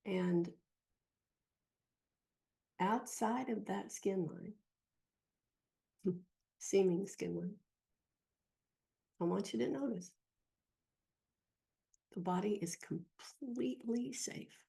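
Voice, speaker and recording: A woman leading a guided meditation as a teacher, speaking gently and softly in a breathy, husky voice with long pauses. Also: medium pitch. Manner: slow, gentle, soft-spoken with long pauses.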